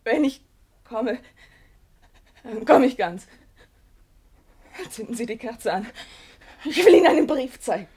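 An actress playing a proud, bitter and volatile young gentlewoman in a German radio drama, with emotionally charged theatrical delivery. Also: fairly high pitch, animated, a little slow, long pauses, husky. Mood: tense, scornful.

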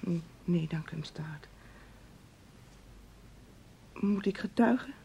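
A woman's voice: takes it slowly, vocal fry, dark tone, lo-fi sound. An actress playing an indignant, wounded woman in a Dutch radio play, challenging and disbelieving. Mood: stunned, hurt. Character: indignant, wounded woman deceived by her lover. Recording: slightly noisy, rumbling old radio recording.

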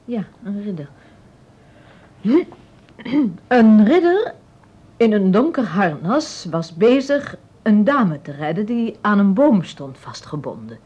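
A woman playing an obliging reader in a radio play, reciting haltingly and deliberately from a book. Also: mid-range voice, sing-song, dark tone, breathy edge, exaggerated voice. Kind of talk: radio drama, reading aloud from a book. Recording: dull, lo-fi sound with faint music.

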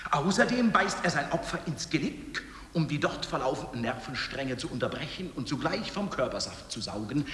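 A man reads scripted, documentary-like narration in a measured, articulate way. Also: fairly high pitch, fairly bright tone. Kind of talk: scripted documentary-like narration in an experimental audio piece. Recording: echoey hall with noticeable hiss.

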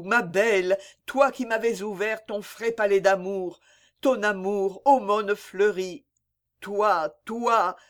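Young basket weaver in despair, pleading and impassioned, high voice, slow, as a man reads him. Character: grieving young basket weaver, desperate lover. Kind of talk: dramatic poem reading.

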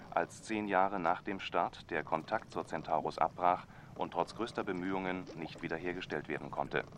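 Serious radio news anchor, really low voice, formal and steady, bit of noise behind. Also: male voice. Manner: measured, formal. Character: male radio newsreader, sober and authoritative. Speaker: radio drama actor. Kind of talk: formal news bulletin delivery.

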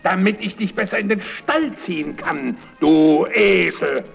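A man playing a mean, taunting coachman with a gravelly, sharp voice in a children's radio play. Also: sits high, unhurried, music in the background, heavily acted. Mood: menacing, gloating. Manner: taunting, gloating. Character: mean, mocking male coachman villain luring boys.

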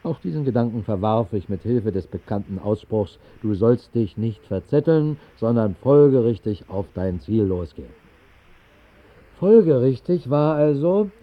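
An actor playing a whimsical night-owl who narrates an absurd dream in a radio play, with ornate, mock-solemn phrasing. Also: male voice, mid-range voice, expressive, unhurried, lightly acted. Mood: wry, deliberate. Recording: muffled, thin 1960s radio recording.

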